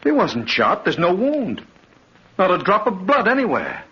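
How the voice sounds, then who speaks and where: An actor playing a cold, vengeful man in a 1950s radio drama, speaking with deliberate menace. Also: sits high, huge pitch swings, breathy edge, exaggerated voice. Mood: defensive. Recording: muffled, noisy old radio recording.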